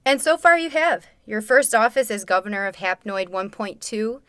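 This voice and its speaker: An actress playing a formal, probing galactic interviewer with a wry, pointed manner in a comic sci-fi radio play. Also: female voice, medium pitch, expressive, moderate pace, a bit bright, clean tone, no echo, natural voice. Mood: polished, wry. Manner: formal, pointed, wryly insinuating. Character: polished, probing female galactic talk-show interviewer.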